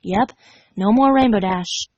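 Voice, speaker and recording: A woman's voice: mid-range voice, unhurried, a little mellow, no room sound, lo-fi sound. A woman reading a story aloud as scripted narration, as a fan fiction reader.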